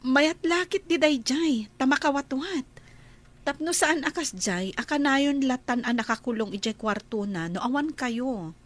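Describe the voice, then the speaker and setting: A polite young housemaid answers her employer with ready, attentive replies, as an actress plays her. Her voice sits high. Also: expressive, unhurried.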